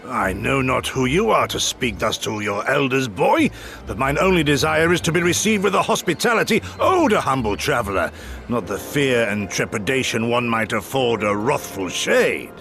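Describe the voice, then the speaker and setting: Game role: boisterous elderly warrior, archaic and theatrical, gravelly, wildly swooping delivery. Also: male voice, mid-range voice, very animated, moderate pace, a bit bright, faint music behind. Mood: indignant. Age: older. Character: boisterous old samurai general, grandiloquent and archaic. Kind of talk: video game voice acting.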